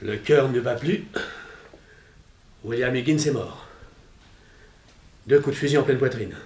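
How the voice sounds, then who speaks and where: An actor playing a blunt, authoritative country sheriff in a 1960s French radio drama, emphatic and declamatory. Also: male voice, medium pitch, a little slow, a little mellow, reverberant, lightly acted. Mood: shocked.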